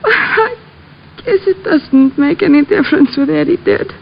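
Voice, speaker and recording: A woman's voice: high-pitched, expressive, measured pace, gravelly, heavily acted. A woman playing an overwrought, scheming married murder suspect in a 1940s radio melodrama, protesting dramatically. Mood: defeated, confessing. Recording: dull old radio recording.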